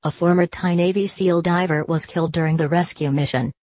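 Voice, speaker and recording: A woman narrating a scripted news report in an even, measured read. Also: on the low side, no echo.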